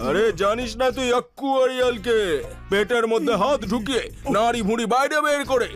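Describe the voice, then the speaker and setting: A man's voice: very high-pitched, fairly quick, faint music behind. A man voicing a boastful, threatening gangster boss in a cartoon, with taunting, slangy delivery. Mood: menacing, mocking. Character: menacing male gangster boss, boastful money lender. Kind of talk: exaggerated cartoon villain acting.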